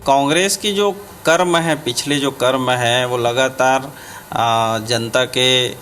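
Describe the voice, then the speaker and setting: A man, a politician, giving an unscripted statement in a halting, hesitant way. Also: mid-range voice, fairly bright tone, soft background music. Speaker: politician, former legislator.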